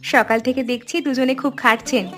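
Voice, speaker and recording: A woman plays a helpful, neighbourly tea seller in a cartoon story. Her voice is fairly high, and she speaks politely and in a friendly way. Music plays softly behind her. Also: fairly even intonation, moderate pace. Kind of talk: animated story dialogue.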